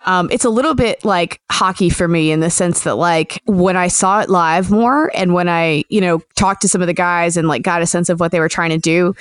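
Smooth-voiced woman hosting a podcast. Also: fairly low pitch, no echo. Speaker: podcast host. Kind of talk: casual chat.

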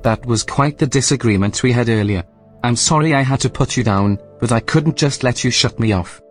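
An actor playing a polite, unflappable artificial intelligence in an audio drama, speaking in a calm, formal, courteous way. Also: male voice, medium pitch, brisk, faint music behind, lightly acted. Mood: politely apologetic.

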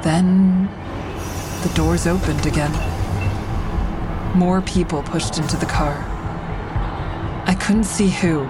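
Low-voiced haunted survivor, music behind. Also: female voice, steady tone, slow pace, pauses often. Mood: haunted, somber. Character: haunted woman survivor of a train shooting, earnest. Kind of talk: first-person audio drama narration.

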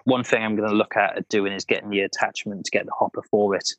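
A man's voice: on the low side, fairly even intonation, quick pace, no echo, lo-fi sound. A man with a Welsh accent, a guest in a casual podcast chat about painting and decorating, who talks hesitantly with many ums and restarts.

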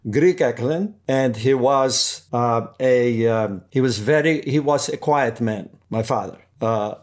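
A man of ordinary pitch talks casually as an interview guest about acting. He hesitates often, repeating words and filling pauses while he searches for what to say. The delivery is loose and conversational. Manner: hesitant, many restarts and fillers. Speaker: actor guest.

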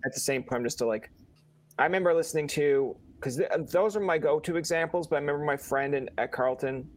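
A man's voice: a little high, fast talker. A man with a Newfoundland accent hosting a casual podcast, asking long, rambling, jokey questions. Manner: rambling, jokey, animated asides. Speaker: podcast host.

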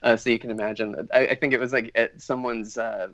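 A man's voice: medium pitch, quick pace, muffled recording. A man, a bagpiper guest on a podcast, telling casual stories and acting out what people said. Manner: animated anecdotes with acted-out quotes. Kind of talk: casual storytelling.

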